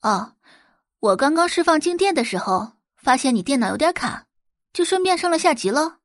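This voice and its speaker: A woman as a breezy, high-voiced young whiz girl. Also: very animated, normal speed, dry recording, studio quality. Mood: breezy, nonchalant. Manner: breezy, nonchalant. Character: young girl computer whiz, breezy, cool, milk-tea lover. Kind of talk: Chinese audio drama acting.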